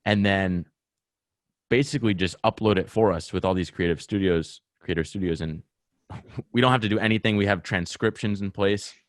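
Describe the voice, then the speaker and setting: A man's voice: on the low side, moderate pace, no echo, studio quality. A young man with a Rhode Island accent, hosting a casual podcast chat and explaining his process in long rambling runs. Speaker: podcast host.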